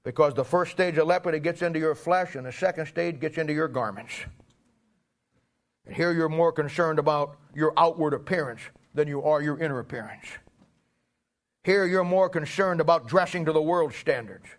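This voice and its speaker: A man's voice: a little high, moderate pace. A middle-aged man preaching a sermon with anecdotes in a folksy, emphatic way, often repeating phrases for weight.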